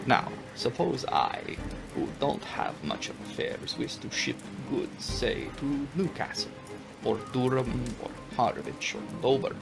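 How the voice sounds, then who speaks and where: A man performs an old vampire count for a gothic audiobook. The delivery is slow and formal, courteous yet menacing, with a creaky edge and sweeping intonation. Music plays underneath. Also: mid-range voice, sing-song. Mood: courteous, deliberate. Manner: slow, formal, deliberate with dramatic pauses. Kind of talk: dramatic audiobook character reading.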